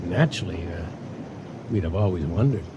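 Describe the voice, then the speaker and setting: A grave man recounts events in a radio play. His pitch moves in a lively way, over clear background noise on an old recording. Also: moderate pace, muffled recording. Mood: grave, musing. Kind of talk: radio drama acting.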